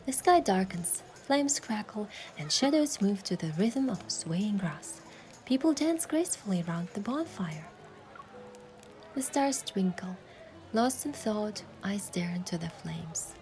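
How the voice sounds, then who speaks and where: A young heroine telling her own love story in a romance game. Her mid-range voice is soft and lyrical, moving between playful and tender. Music sits behind her. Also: mid-range voice, lively intonation, a little slow. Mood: dreamy. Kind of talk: scripted first-person narration and dialogue in romance game.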